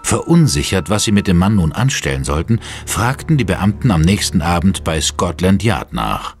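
A man's voice: low voice, big pitch swings, moderate pace. A man narrating a horror audio drama in a dramatic, scripted style. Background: music.

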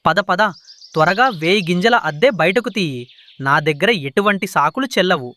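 A man's voice: high-pitched, animated, normal speed, sharp tone, no echo. A man voicing a greedy, bullying crow landlord in a children's cartoon, pushy and scheming, often repeating words impatiently. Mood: demanding.

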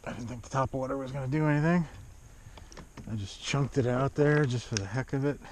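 A man's voice: medium pitch, a little fast. A middle-aged man giving casual running commentary while kayak fishing, talking in short, plain-spoken phrases. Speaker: kayak angler and video host. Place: outdoors in a kayak on a lake. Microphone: action camera mounted on body or kayak. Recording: dull muffled action-camera audio, loud outdoor noise.

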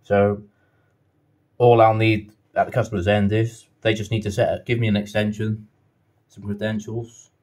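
A man's voice: fairly low pitch, little pitch movement, moderate pace, darkish tone. A man narrating a casual hands-on tutorial as an installer, thinking aloud in an informal way. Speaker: installer demonstrating intercom setup.

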